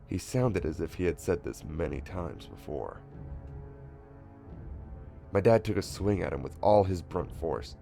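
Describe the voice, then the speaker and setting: Man playing a very deep, creaky-voiced frightened narrator. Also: animated, unhurried, pauses often, mellow, faint music behind, exaggerated voice. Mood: grim. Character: frightened son recounting his own horror ordeal. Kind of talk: scripted first-person horror narration.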